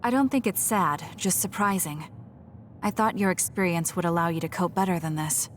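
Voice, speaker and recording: A woman's voice: lowish voice, moderate pace, a little hiss or hum, natural voice. A woman playing a young, guarded female soldier in a video game, speaking in a blunt, matter-of-fact way. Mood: matter-of-fact, probing. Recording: studio voice under loud game music.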